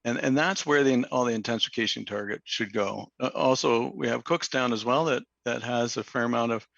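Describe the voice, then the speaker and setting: A man with a slightly hoarse, gravelly voice works through a planning presentation at a public meeting, pausing on fillers and restarting his phrases. The audio is dull and lo-fi, with a dry, close sound. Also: medium pitch, a bit bright, dry recording. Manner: halting, many fillers and restarts. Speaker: planning consultant presenting to council.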